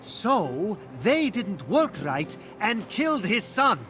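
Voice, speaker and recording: An actor playing an earnest, wide-eyed young mortal hero in a video game, sincere and expressive. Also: male voice, high-pitched, slow, soft background music, exaggerated voice. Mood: awed. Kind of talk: dramatic video game character acting.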